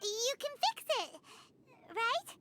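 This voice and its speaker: A woman voicing a tiny excitable female companion sprite in a video game cutscene, with a squeaky, childlike voice and an eager, chatty delivery. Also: slow, soft background music, heavily acted. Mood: chirpy.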